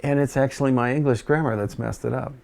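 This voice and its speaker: A man's voice: medium pitch, fairly even intonation, fast talker, creaky, a little mellow. A man in casual conversation, rambling and hesitant with frequent fillers, whose first language is Dutch.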